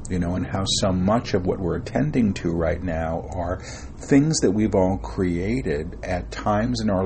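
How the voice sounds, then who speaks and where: Deep-voiced rambling middle-aged man. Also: noisy background. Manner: rambling, frequent "you know" fillers and restarts; self-described shy and reserved. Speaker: guest, spiritual channeler and author. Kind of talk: conversational interview.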